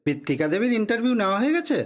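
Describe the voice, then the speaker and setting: A wary police inspector with a high, very animated voice. He needles and probes with sarcasm, in the manner of an audio drama. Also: very fast. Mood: sardonic. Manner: sarcastic, probing.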